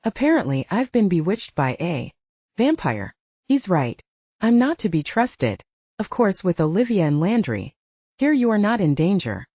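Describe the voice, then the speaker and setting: A woman's voice: on the low side, big pitch swings, normal speed, mellow, dry recording. A woman voicing an arrogant, sardonic bewitched man in an audiobook, in slightly muffled audio. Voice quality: clear. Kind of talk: audiobook character dialogue.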